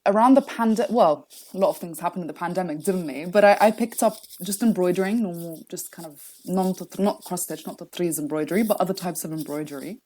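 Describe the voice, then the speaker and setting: A woman with a slightly low voice speaks as a podcast guest. She talks thoughtfully and softly, pausing as she gathers her thoughts. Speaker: guest, embroidery workshop co-founder. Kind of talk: casual interview chat.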